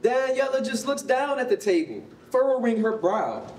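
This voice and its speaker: Lively woman narrator in an echoey hall. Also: normal speed. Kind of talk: scripted narration of staged reading. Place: concrete rehearsal hall, live staged reading. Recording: roomy, distant mic, noisy rumble.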